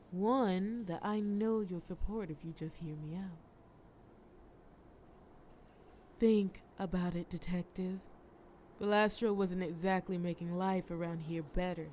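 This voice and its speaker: A cunning mafia boss acted by a woman speaks straight to the listener in an audio roleplay. Her mid-pitched voice is soft-edged and dark, sultry and teasing as she persuades with cool menace. A faint layer of noise sits behind her. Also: lightly acted. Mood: smooth, persuasive. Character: cunning, flirtatious female mafia boss, coolly threatening and teasing.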